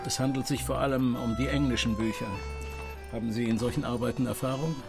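A male actor as a kindly old father in a radio play. He is courteous and measured, with a medium-pitched voice. Music plays clearly behind him. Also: fairly even intonation, lightly acted. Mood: courteous, businesslike. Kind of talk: radio drama acting.

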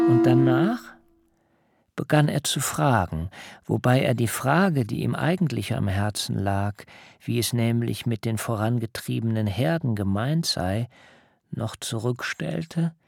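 A proud, wary man narrates his own tale in a radio drama. The voice is gravelly and unhurried, recorded dry and close. His phrasing is measured, wry and literary. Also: mid-range voice, a little mellow, no room sound, heavily acted. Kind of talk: scripted first-person narration in a radio drama.

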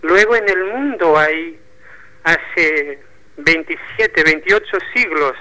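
A Portuguese man with a Portuguese accent in Spanish, touched with Argentine Spanish, talking slowly as a radio guest. His voice is sharp and bright and sits a little high for a man. He stops and repeats words often while he looks for the right phrase. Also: rough recording. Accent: Portuguese speaking Spanish, with a touch of Argentine Spanish. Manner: thoughtful, halting, repeats words while searching. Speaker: poetry bookshop owner, guest. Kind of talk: radio interview.

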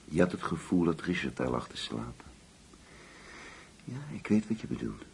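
An actor playing a weary, regretful old husband in a Dutch radio play, speaking in terse, questioning phrases. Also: male voice, very deep voice, very animated, moderate pace, creaky, exaggerated voice. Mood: guarded, questioning. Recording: faintly noisy old radio recording.

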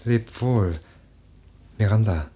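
Admonishing aristocratic cousin, deep-set husky voice, grave declamation, earnest, literary radio play. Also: male voice, takes it slowly, mellow. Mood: gentle, pitying. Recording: studio radio drama recording.

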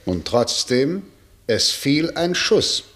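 An actor playing a sober male interrogator who questions in a measured, formal, insistent way, in 1960 radio drama style. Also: medium pitch, big pitch swings, fairly bright tone. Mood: probing. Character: sober, probing male interrogator hearing a confession.

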